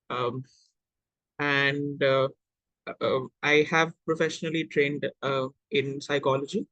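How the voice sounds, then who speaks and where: A man from India introduces himself on an online panel in a mid-pitched voice. He speaks hesitantly, pausing often on "uh." The sound is dry and close. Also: fairly bright tone, no echo, poor audio. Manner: hesitant, with many "uh"s. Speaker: panelist, psychology-trained.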